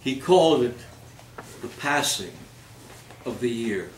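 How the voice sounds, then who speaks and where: A man reciting poetry in a theatrical, measured manner, with phrases that swell and then fall away. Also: medium pitch, lively intonation. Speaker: reader at a readers theater event. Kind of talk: dramatic poetry recitation with spoken introduction. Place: live reading in a roomy space. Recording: roomy, distant microphone, background noise.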